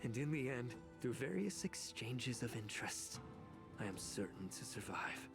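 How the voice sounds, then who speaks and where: Calculating game villain, mid-pitched male, formal, smug, music underneath. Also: sing-song, moderate pace, gravelly. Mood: smug menace. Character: scheming, smug male official on trial. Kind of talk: video game voice acting.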